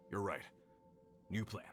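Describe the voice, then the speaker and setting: An actor playing a brisk, earnest foreman in a video game, plain-spoken and concerned. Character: earnest working foreman leading a search party, brisk, concerned. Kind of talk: video game character acting.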